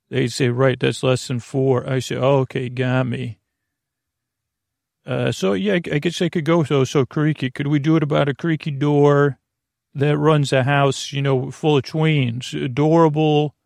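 A man with a creaky voice hosting a sleep podcast, speaking in a soothing, meandering, halting way full of digressions. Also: medium pitch, darkish tone, no echo, studio quality. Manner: meandering, halting, digressive, stumbling over words; soothing, lulling. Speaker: podcast host. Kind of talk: rambling bedtime monologue to help listeners sleep.

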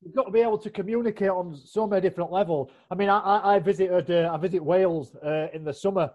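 A man's voice: high-pitched, fast, a little mellow. A man hosting a casual interview, asking long, rambling questions. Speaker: podcast host. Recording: dull, lo-fi sound.

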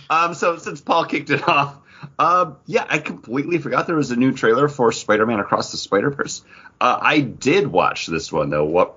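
A man's voice: on the low side, a bit bright, breathy edge. A man co-hosting a podcast in casual, joking chat, self-deprecating. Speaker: podcast co-host. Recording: dull lo-fi recording.

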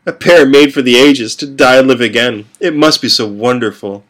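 A man's voice: medium pitch, normal speed, a bit bright, voice pushed up, natural voice. A man voicing a giggly, deluded young woman who speaks in an eerie, sing-song way. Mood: dreamy.

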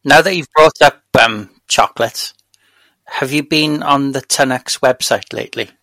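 A Welsh man in easy podcast banter, his voice bright and edged with a tense brightness. He pokes fun at himself and laughs often. The sound is dry and close, with no room around it. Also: mid-range voice, a little slow, dry recording. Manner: chatty, self-deprecating, laughs often. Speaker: podcast co-host. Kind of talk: casual chat.

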